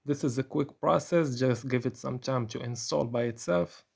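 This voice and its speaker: A man narrating a step-by-step software tutorial in a plain, instructional manner. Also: medium pitch, normal speed, creaky, slightly rough.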